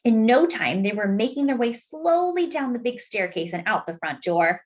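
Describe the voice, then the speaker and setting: A woman reading a picture book aloud to children, expressive and deliberate, dropping in chatty asides. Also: on the high side, lo-fi sound. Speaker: children's story reader.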